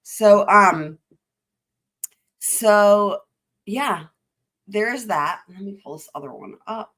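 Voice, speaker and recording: A woman hosting a live stream, chatting excitedly and directly to her viewers about courtroom clips. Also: medium pitch, extremely slow. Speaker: livestream host. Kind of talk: casual live commentary.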